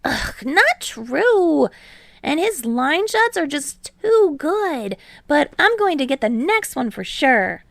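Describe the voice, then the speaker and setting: Woman voicing an eager, high-pitched teenage boy. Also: slow, creaky, no room sound, studio quality, heavily acted. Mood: excited, protesting. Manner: energetic, playful, protesting. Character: eager, bright, teasing teenage boy volleyball player. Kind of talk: audiobook character voice in fan fiction reading.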